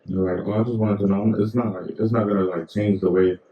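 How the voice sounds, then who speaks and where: A man's voice: lowish voice, fairly even intonation, a little fast, roomy sound, dull audio. A young man co-hosting a podcast in casual, rambling chat full of slang and fillers. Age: young adult. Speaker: podcast co-host.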